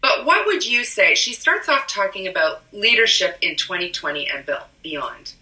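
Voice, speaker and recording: A woman co-hosting a podcast, hoarse from a cold and coughing now and then, explaining things in a rambling way with many ums. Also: mid-range voice, very animated, moderate pace, sharp tone, recorded from a distance. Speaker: podcast co-host. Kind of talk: casual podcast chat. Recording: roomy echo, a bit off-mic.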